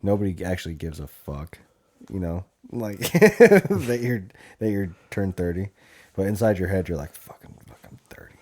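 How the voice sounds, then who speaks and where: Podcast host: American male, thirty, deep relaxed voice, loose and foul-mouthed conversational delivery. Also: moderate pace. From Montana, USA. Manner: crude, profanity-heavy slang. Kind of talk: casual chat.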